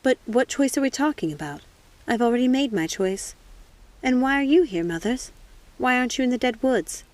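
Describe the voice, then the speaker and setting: An earnest young witch from a storybook, voiced by a woman reader. She speaks in a fairly high voice with a tender, questioning manner. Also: lively intonation, normal speed, darkish tone, dry recording, close to an everyday voice. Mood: puzzled, insistent.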